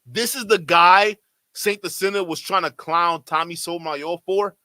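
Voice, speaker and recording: A man's voice: high-pitched, steady tone, moderate pace, pushed and bright, no room sound, studio quality. A man reacting live to a stream, exasperated and incredulous, talking straight to his chat. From Nigeria. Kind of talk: livestream reaction commentary.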